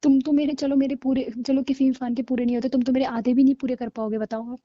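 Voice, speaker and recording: A woman's voice: high voice, level tone, fast, no room sound, dull audio. A young woman playing a blunt, scornful girlfriend breaking up with her boyfriend in a naturalistic audio drama of a couple's call. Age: young adult. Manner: blunt, dismissive, argumentative.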